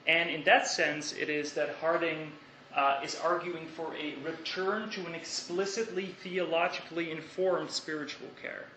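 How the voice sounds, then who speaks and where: A young Dutch man gives a thoughtful academic talk, pausing and restarting as he works through ideas. His voice is bright and fairly high. The sound is roomy, with a faint hiss from a lecture hall. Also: fairly even intonation. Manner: thoughtful, measured, with hesitations and restarts.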